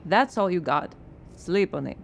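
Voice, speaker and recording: An actress playing a blocked woman playwright who answers with wry, deadpan sarcasm in a radio drama. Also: lowish voice, unhurried, gravelly, slight background noise. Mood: sardonic. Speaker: writer.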